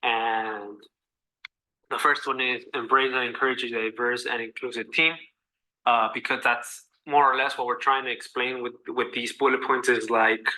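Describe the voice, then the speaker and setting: A young man from Mexico with a Mexican accent, earnestly giving a class presentation in a halting way over a video call. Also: medium pitch, little pitch movement, bright, forceful tone, slight background noise, rough recording. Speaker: student presenter.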